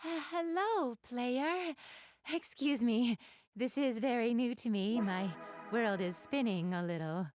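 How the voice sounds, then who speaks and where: A woman's voice: a little high, takes it slowly, a little mellow, dry recording, faint music behind. A woman playing an elegant young goddess in an anime video game, speaking to the player with polite, genteel, formal diction and a keen gamer's refinement. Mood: flustered.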